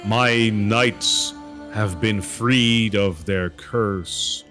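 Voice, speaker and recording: Man doing a slow, solemn holy spirit. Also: lowish voice, sharp tone, faint music behind, full character voice. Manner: slow, measured blessing.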